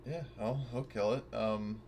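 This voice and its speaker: A man's voice: on the low side, measured pace, darkish tone. A man playing a tabletop game, talking casually and bluntly as he works out his moves. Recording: clear background noise.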